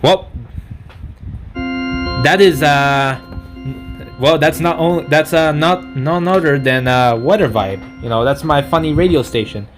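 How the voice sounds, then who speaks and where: A man giving an unscripted product review in a halting, rambling way, often correcting himself mid-sentence. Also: on the high side, faint music behind. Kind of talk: unscripted gadget demonstration.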